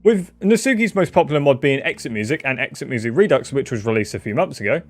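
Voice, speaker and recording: A man's voice: mid-range voice, lively intonation, quick pace. A man giving casual, opinionated guest commentary in an informal, joking, sweary manner. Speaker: guest video contributor.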